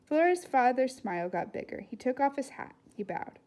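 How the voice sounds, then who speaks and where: A woman reading a storybook aloud as an expressive narrator. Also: sits high, normal speed.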